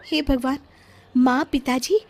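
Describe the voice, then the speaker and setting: Woman playing a shrill, scornful young daughter. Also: fairly even intonation, measured pace, music in the background. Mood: scornful shock. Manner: shrill, mocking.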